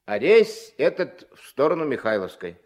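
A resolute riverboat captain played by a male actor with a mid-pitched voice that rises and falls widely. He is gruff, terse and folksy, giving orders with mock formality. It sounds like a vintage Soviet radio drama. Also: sing-song, moderate pace, a little mellow, natural voice. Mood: solemn, official. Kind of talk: Soviet radio play acting. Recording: vintage 1970s mono radio recording.

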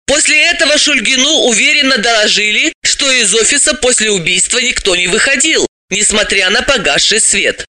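Here is a brisk, self-assured woman sleuth from an audiobook, acted out by a man. The voice is pitched high and sounds very bright, swinging widely as it explains with businesslike emphasis and a mocking edge. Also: expressive, no room sound, exaggerated voice. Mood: insistent. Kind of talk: audiobook character voice.

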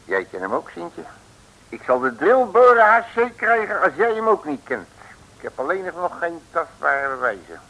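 Cocky old-time radio detective, slangy and blustering, bright gravelly voice, old radio recording. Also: male voice, on the high side, very animated, moderate pace, heavily acted, poor audio. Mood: emphatic, boastful. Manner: colourful street slang, emphatic, blustering. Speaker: actor in a detective radio serial. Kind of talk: early 1960s comic radio acting.

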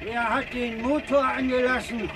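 An actor in a 1950s German radio play playing a stubborn, ailing older boat owner who grumbles and repeats himself emphatically. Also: male voice, very high-pitched, steady tone, moderate pace, background noise. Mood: irritated.

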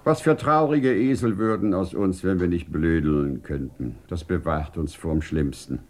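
An actor playing an urbane, teasing scientific director in a radio play, whimsical and courteous, with elaborately worded, theatrical speech. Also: male voice, low voice, a little slow, a little mellow. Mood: courtly, bantering. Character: urbane, teasing male scientific director of a desert research station. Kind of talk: German radio play acting.

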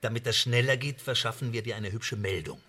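An actor in a radio play voicing a smug French spymaster who blackmails with mock friendliness and taunting, theatrical emphasis. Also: male voice, medium pitch, fairly quick, bright, forceful tone, breathy edge. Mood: coaxing.

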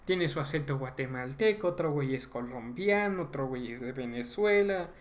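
A man's voice: mid-range voice, expressive, normal speed. A young man with a Mexican accent chatting casually as a podcast host about video games, using slang and frequent swearing.

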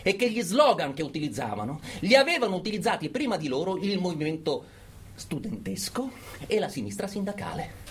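An actor playing a fanatical political orator in a theatrical radio monologue, emphatic and full of dramatic pauses. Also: male voice, high-pitched, big pitch swings, a little hiss or hum. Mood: grave, insistent. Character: fanatical male political orator urging brutal repression.

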